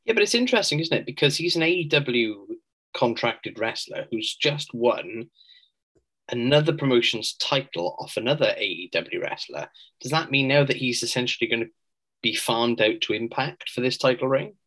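A man co-hosting a podcast, talking in a measured, thoughtful way as he weighs things up in casual chat. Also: mid-range voice, animated, fairly bright tone, rough recording. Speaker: podcast co-host.

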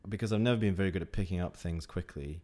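A man's voice: deep voice, quick pace, darkish tone. A man in his late twenties talking reflectively in a casual chat, rambling a little and laughing often. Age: 20s.

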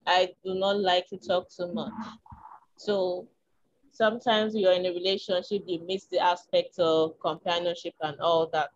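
A Nigerian woman speaks in a small, slightly low voice, candid and reflective, working through her thoughts with soft ums. The recording is a bit muffled and dull at the top end. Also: level tone, moderate pace. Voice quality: small, tiny voice. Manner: reflective, frank, with filler ums and soft laughs. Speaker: interview guest. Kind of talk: candid conversational chat.

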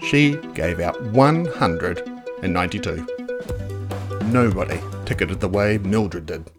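A narrator reading a children's book aloud in a dramatic, playful, expressive style. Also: sits low, measured pace, music in the background. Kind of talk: expressive storybook read-aloud.

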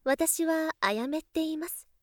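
An actress playing a refined, gentle young lady of wealth in an audio drama, speaking softly and politely with a coaxing, slyly doting big-sister manner. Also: high voice, normal speed, no echo, clean studio sound, close to an everyday voice. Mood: gracious. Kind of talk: Japanese voice drama acting.

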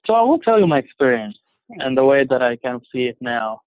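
A man's voice: mid-range voice, very animated, no room sound. Egyptian-born man on a tinny phone line. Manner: halting, many fillers and restarts. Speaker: interview guest. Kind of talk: casual conversation.

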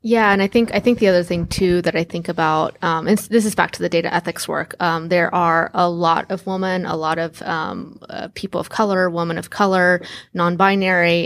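A woman on a panel picks her words slowly, with many pauses and fillers. Her voice is low-pitched and gravelly, and the sound is dry. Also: no room sound. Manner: thoughtful, hesitant, many fillers. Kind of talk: panel discussion.

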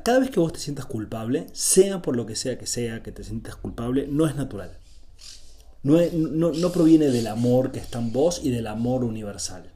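A man's voice: mid-range voice, dark tone. A man from Argentina with an Argentine accent giving an informal spiritual talk as a teacher, in a didactic way with repeated phrases and short rhetorical check-ins.

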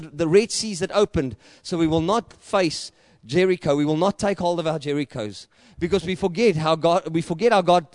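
Passionate South African preacher, high voice. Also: male voice, dry recording, studio quality. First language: Afrikaans. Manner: passionate preaching, rising to loud emphatic peaks; repetitive rhetorical build-ups. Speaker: pastor. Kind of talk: sermon.

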